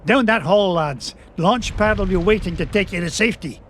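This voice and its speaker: An actor playing a feisty old Scottish duck, a rich adventuring uncle, crotchety and indignant in a cartoon game. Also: male voice, high voice, moderate pace, a bit bright, slight background noise. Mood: urgent, caring. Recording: music behind the voice.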